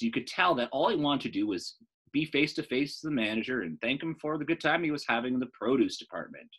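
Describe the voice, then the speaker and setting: A man in his thirties reading a children's book aloud as a storyteller, in an animated style. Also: medium pitch, husky, low-quality recording.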